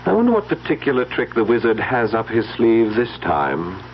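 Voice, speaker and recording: An actor playing a sardonic, brooding older stepbrother with a wry, theatrical manner in a radio drama. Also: male voice, mid-range voice, wildly swooping pitch, normal speed. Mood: sardonic, ominous. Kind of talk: 1970s radio drama acting. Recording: dull lo-fi old radio broadcast, faint noise.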